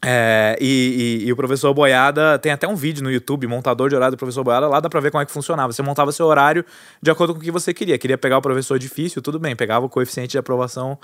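A man from Brazil in his thirties, speaking as a podcast guest. He sounds relaxed and conversational, often restarting his sentences. His voice is recorded close up in a dry, quiet room and sits at a middle pitch. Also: racing, no echo. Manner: relaxed and chatty, with frequent fillers and self-correcting restarts. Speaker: guest, entrepreneur. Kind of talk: casual storytelling interview.

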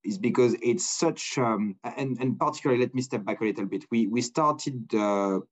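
A man's voice: mid-range voice, moderate pace, poor audio. A man hosting a business podcast interview, talking loosely with many restarts and uneven phrasing. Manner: rambling, many restarts, non-native phrasing. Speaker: podcast host.

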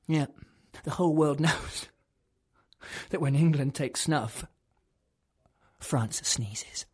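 A woman acting a deluded male clerk who believes he is king, in a dramatic monologue that swings between hushed whispers and loud outbursts. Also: low-pitched, slow pace, breathy edge, heavily acted. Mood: agitated ranting.

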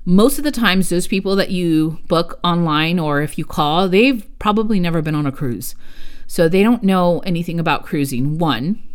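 A woman's voice: lowish voice, normal speed, clear, resonant tone. Chatty woman hosting a travel podcast. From United States. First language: Spanish. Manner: chatty, addresses listeners as "you guys", digresses. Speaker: podcast host and travel agent. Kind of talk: casual solo podcast talk.

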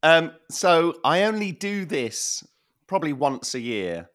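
A man co-hosting a casual podcast chat, animated and quick to laugh, with a voice that is wearing out. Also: a little high. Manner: animated, laughs often, repeats himself. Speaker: podcast co-host.

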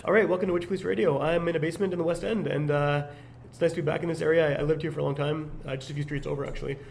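A man hosts a podcast in a relaxed, rambling way, his voice hoarse and fading. There is a gravelly rasp to it and it sits a little high for a man. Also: rapid-fire, over music. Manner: relaxed, rambling with frequent fillers. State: losing his voice. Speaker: podcast host. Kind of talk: casual podcast chat. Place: basement.

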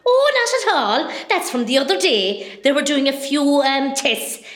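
A roomy recording carries a woman acting as an older Irish mother from West Cork in a radio comedy. She is mischievous and theatrical, with a high voice that leaps up and down as she gossips. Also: expressive, a little slow. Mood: indignant, chatty. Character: cheeky, bawdy, dramatic older Irish mother in hospital.

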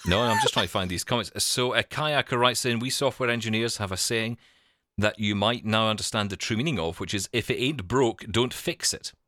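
A man's voice: on the low side, brisk, bright, forceful tone, no room sound, studio quality. A man hosting a podcast in casual, bantering chat, with a jokey, self-deprecating manner. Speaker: podcast host, broadcaster.

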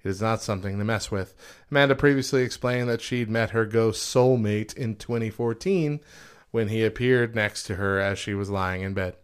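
A man's voice: on the low side, normal speed, smooth. Man, early 30s, casual podcast co-host; light, joking delivery. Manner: joking, laughs often. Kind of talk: casual banter, reading headlines.

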